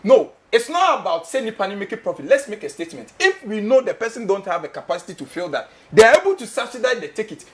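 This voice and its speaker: A man's voice: sits high, big pitch swings, fast talker, hardly any pauses. A Ghanaian man hosting a studio talk show, speaking English with a Ghanaian accent, passionate and emphatic, repeating his questions and slipping into Twi. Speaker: host.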